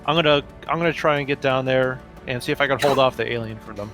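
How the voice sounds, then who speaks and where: A man's voice: mid-range voice, fast talker, fairly bright tone, faint music behind. A man playing a tabletop game, chatting casually and thinking tactics through aloud.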